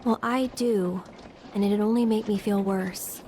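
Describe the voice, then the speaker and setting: A woman's voice: mid-range voice, fairly even intonation, measured pace, darkish tone, slight background noise, natural voice. An actress playing a candid, sensitive young woman in a video game, speaking conversationally. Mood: hurt, candid. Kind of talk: video game character acting.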